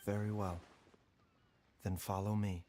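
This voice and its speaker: A man voicing a composed, soft-spoken doctor in a video game, speaking in a measured, formal and grave way. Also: on the low side, level tone, noisy background, close to an everyday voice. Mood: solemn.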